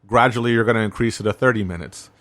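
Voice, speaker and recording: A man's voice: fairly low pitch, fast talker, fairly bright tone, dry recording. A man hosting a self-help podcast, talking in a laid-back, conversational way and often checking in with "right?". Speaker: podcast host.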